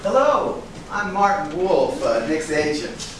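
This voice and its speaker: A man playing a pushy, fretting talent agent in a staged reading, with halting, deliberate delivery. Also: a little high, sing-song. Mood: flattering, evasive. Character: pushy, fretting male talent agent, accusing then flattering. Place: room at a live staged reading. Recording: echoey room, distant mic, noisy.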